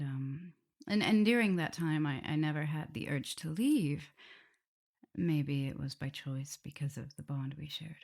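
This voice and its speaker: A woman's voice: sits low, creaky, heavily acted. A woman playing a tender, nervous vampire mistress in an intimate ASMR roleplay, speaking hesitantly and often slipping toward a whisper. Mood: reflective. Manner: hesitant, halting, breaking into whispers and soft laughs. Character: tender, nervous, guilt-ridden female vampire mistress in love.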